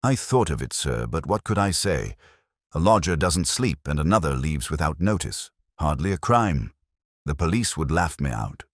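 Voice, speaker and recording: A man's voice: extremely low pitch, very animated, normal speed, dry recording, studio quality, heavily acted. A man voicing a harried, deferential working-class landlady, hesitant and uneasy, in an audiobook story. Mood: anxious, insistent. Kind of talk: audiobook detective story dialogue.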